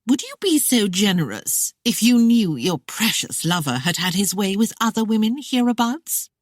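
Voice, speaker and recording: A woman's voice: a little high, animated, moderate pace, no room sound, studio quality, pitched above the performer's own voice. A woman doing a sneering haughty young lady. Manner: sneering, arrogant.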